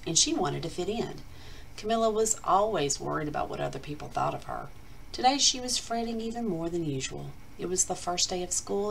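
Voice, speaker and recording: A woman reading a children's picture book aloud like a teacher, with deliberate, expressive storytelling and dramatic emphasis. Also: lowish voice, vocal fry, a little hiss or hum, low-quality recording.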